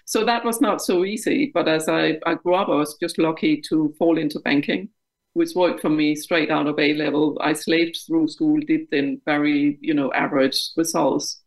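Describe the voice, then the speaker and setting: A woman's voice: sits low, fairly even intonation, moderate pace, rough recording. A woman in her fifties from Denmark with a Danish accent, speaking frankly and reflectively as an interview guest, laughing at herself. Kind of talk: candid interview conversation.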